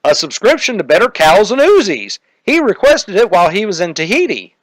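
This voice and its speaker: A man's voice: sits high, big pitch swings, a little fast, no echo, voice pushed up. A man voicing a jolly but easily indignant old Santa Claus in a comic detective parody. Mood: explaining.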